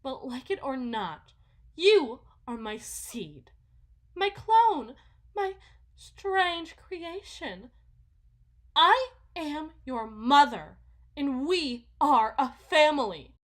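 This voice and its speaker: A woman playing a delusional, condescending, possessive wealthy mother in a theatrical ASMR roleplay, phrasing everything in a drawn-out, deliberate way. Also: high-pitched, big pitch swings, heavily acted. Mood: fierce, possessive. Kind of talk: ASMR roleplay, dramatic monologue.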